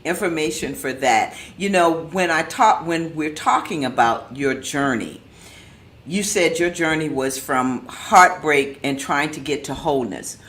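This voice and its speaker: A woman's voice: low voice, unhurried. A woman from Charleston hosting a talk show, encouraging her guest and adding affirmations as he speaks. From Charleston, South Carolina. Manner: warm, encouraging, affirming interjections. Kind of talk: interview hosting.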